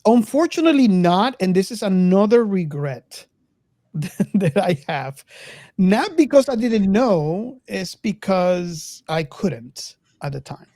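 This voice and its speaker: A woman hosting a casual film discussion, speaking haltingly and repeating words as she goes. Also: medium pitch, expressive, warm tone, dry recording, studio quality. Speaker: podcast host.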